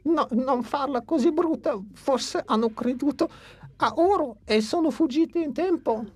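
A woman's voice: sits high, measured pace, a little mellow. A woman voicing a young, cautious and fretful flying dinosaur in a dubbed cartoon. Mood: anxious. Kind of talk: Italian cartoon dub.

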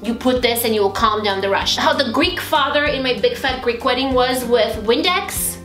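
A bubbly woman gushes over beauty products in a home vlog. Her voice sits fairly high and carries a roomy echo. A music bed plays underneath. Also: moderate pace, fairly bright tone. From Romania. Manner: bubbly and gushing, with squeals. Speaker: beauty vlogger. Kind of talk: unboxing haul vlog. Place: small bright indoor home studio.

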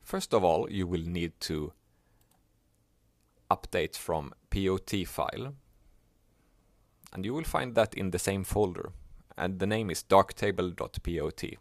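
A man whose first language is Swedish, explaining a software task step by step in a plain, unhurried way. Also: sits low, dry recording. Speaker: software tutorial maker. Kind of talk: screen-recorded software tutorial.

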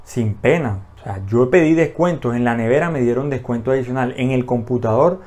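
A young man talks straight to the camera in a small indoor room. He keeps it casual, leaning on "eh" fillers and quick self-corrections. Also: medium pitch, a little mellow. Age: young adult. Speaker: vlogger. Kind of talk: casual talking-head explainer. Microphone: front camera at chest-up framing.

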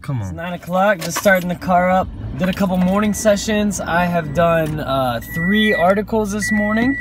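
A man's voice: sits high, moderate pace, crowd noise. A man in his twenties talking casually to camera like a gym trainer, in an upbeat, slangy, folksy way. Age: 20s. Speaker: gym owner and personal trainer. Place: inside a car. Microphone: phone at arm's length. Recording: noisy car interior with engine and road hum.

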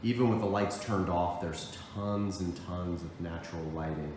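A man's voice: low-pitched, normal speed. A man in his thirties, a real estate agent, narrating a walk-through home tour on a handheld phone indoors. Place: indoors, hallway and rooms of an apartment. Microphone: phone held at arm's length. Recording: echoey rooms with background noise.

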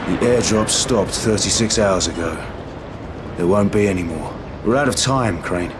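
An actor playing a grave, loyal resistance ally in a video game cutscene, speaking in a measured, matter-of-fact way. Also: male voice, fairly low pitch, close to an everyday voice. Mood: grim. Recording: clear background noise, outdoor urban ambience.

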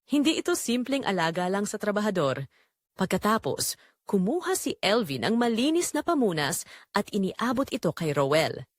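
A woman reading scripted dramatic story narration in short, measured, suspenseful phrases. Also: medium pitch, sing-song, dry recording, clean studio sound.

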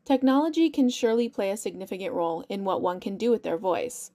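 A woman acts as interviewer, reading out scripted questions. Her voice sits at a medium pitch and has a mellow, dark tone. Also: lively intonation, quick pace.

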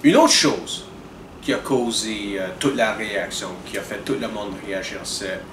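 A young man, talking earnestly to camera in a measured, deliberate way with frequent restarts. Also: on the low side. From Canada. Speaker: video blogger. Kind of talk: direct-to-camera commentary. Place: indoors in front of a dark curtain. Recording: roomy, distant with air-conditioning hum.

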